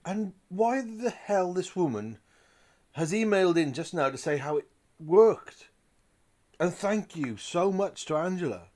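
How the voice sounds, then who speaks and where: A man playing a gruff, excitable magazine boss in an audio drama, emphatic and blunt. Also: sits high, unhurried. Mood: stern, incredulous.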